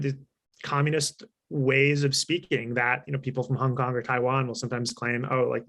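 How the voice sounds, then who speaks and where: A man giving measured, articulate, opinionated answers as a guest author and consultant in an online talk. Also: mid-range voice. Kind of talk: explanatory interview answers at a virtual talk.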